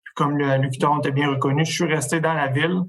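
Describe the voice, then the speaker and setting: A young man from Quebec with a Québécois accent, calmly recounting his path as a podcast guest. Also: a little high, fast. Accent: Québécois French. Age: young adult. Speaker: accounting student guest. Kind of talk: casual interview answers.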